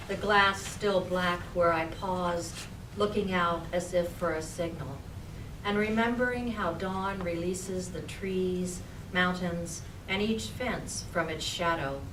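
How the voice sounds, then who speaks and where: Unhurried woman reciting poetry, noisy distant recording. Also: fairly low pitch. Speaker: poet reading own work. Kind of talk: live poetry reading. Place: public library reading room. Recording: echoey room, distant mic, clear noise.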